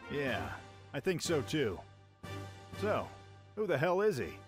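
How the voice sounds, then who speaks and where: A man's voice: on the high side, huge pitch swings, slow, full character voice. Man as a brash, swooping young pirate lord. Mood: serious. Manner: casual, cocky, exuberant. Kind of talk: fan radio-play acting. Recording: music behind the voice.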